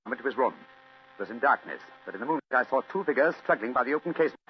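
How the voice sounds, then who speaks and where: An actor playing a commanding, shrewd British gentleman detective, clipped and theatrical, in a vintage radio drama. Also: mid-range voice, animated, rapid-fire, slight background noise, lightly acted. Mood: urgent. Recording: dull old radio recording, faint music.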